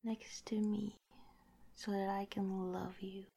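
A woman speaks in a breathy, intimate whisper, as in a romantic ASMR roleplay. Her delivery is very slow and halting, with little movement in pitch. The tone is soft, dark and affectionate. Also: little pitch movement.

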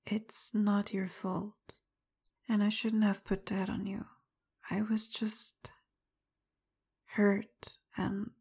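Breathy whispering woman, low husky fry. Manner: apologetic, tender, soft-spoken; frustrated and confrontational at first. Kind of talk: roleplay audio addressed to the listener.

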